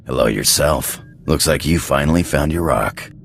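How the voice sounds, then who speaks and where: A man playing a gruff, cocky, hot-tempered space pirate pilot in a video game, with a gravelly voice and rough slangy talk. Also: rumbling low, moderate pace, slight background noise. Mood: wry. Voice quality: gravelly, husky.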